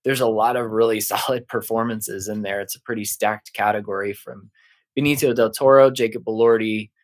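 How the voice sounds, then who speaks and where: A man's voice: lowish voice, steady tone, a little fast. Easygoing man on a film podcast. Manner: easygoing, agreeable. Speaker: podcast co-host. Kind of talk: casual film industry chat.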